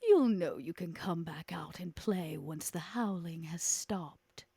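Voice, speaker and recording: A woman's voice: fairly low pitch, expressive, gravelly, mellow, husky, no echo, exaggerated voice. Woman playing a haughty, theatrical vampire lady, dry sound. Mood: haughty, menacing. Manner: measured, pompous, theatrical. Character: elegant, haughty woman vampire schemer, dramatic and superior.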